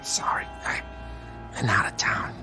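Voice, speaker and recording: A man acting in a radio drama as a beaten-up, protective older brother, streetwise and wisecracking, talking in a wry, halting way. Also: bright, forceful tone, faint music behind. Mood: wry, weary.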